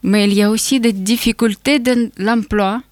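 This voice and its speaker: A woman's voice: on the high side, steady tone, moderate pace, no room sound, clean studio sound. Woman from Ukraine reading carefully. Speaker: testimony speaker. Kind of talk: reading a prepared testimony.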